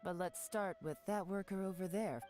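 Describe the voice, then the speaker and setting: A woman voicing a composed adult female spy who investigates calmly and speaks in a measured, knowing way in a video game. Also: mid-range voice, faint music behind, close to an everyday voice. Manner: calm, measured, quietly knowing.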